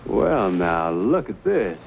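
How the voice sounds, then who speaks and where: An actor playing an easygoing, dryly wry frontier adventurer in an old Western drama, speaking with folksy politeness. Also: male voice, sits low, sing-song, slow, vocal fry, full character voice. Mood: calm, wry authority. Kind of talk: mid-century Western drama acting. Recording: dull, lightly noisy old broadcast recording.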